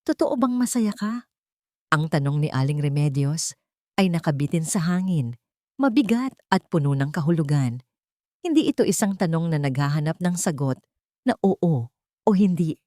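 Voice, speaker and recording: A woman's voice: sits low, expressive, normal speed, darkish tone, no room sound, clean studio sound. A woman narrating a scripted dramatic story. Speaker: audiobook-style story narrator.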